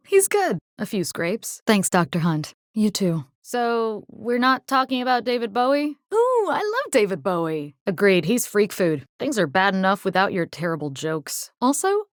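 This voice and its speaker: An actress voicing a tough, caring young military rescue engineer in a video game, salty, wry and resolute. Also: female voice, medium pitch, no echo, clean studio sound. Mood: wry, bantering. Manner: salty, plain-spoken, wry. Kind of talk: scripted video game character voice lines.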